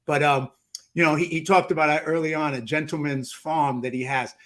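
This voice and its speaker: Playful higher-voiced New man host. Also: steady tone, brisk, a bit bright. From New York. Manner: playful, teasing, many restarts. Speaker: podcast host. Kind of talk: casual interview chat.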